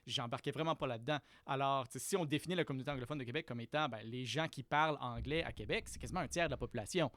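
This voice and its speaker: A man from Quebec City with a Quebec French accent, a podcast guest explaining things in a thoughtful, measured way with frequent restarts. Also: mid-range voice, fairly bright tone, no echo. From Quebec City, Canada. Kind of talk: explanatory conversational interview.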